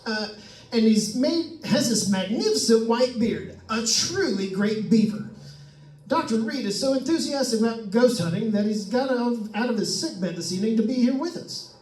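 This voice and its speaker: A man's voice: very high voice, animated, normal speed, a little mellow. A man playing a chatty, nervous radio ghost hunter in old-time radio drama style, with stammering announcer patter. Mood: chatty, eager. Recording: roomy, distant-miked stage recording.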